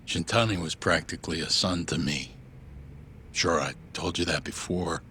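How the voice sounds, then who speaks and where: A man's voice: sits low, normal speed, pushed and bright, a little hiss or hum. An actor playing a weary older law-office boss in a video game drama, speaking in a stern, blunt, fatherly way. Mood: grieving, weary. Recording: background music under the dialogue.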